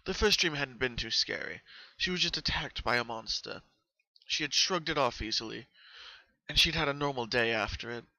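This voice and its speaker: Very bright, dry-sounding male narrator. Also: medium pitch, lively intonation, normal speed, creaky, no room sound, low-quality recording. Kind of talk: dramatic reading of horror fan fiction.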